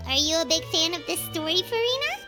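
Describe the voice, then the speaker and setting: A woman's voice: very high-pitched, sing-song, a little fast, over music. A woman voicing a tiny, childlike fairy companion in a video game, chirpy and curious. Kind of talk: anime-style video game dialogue.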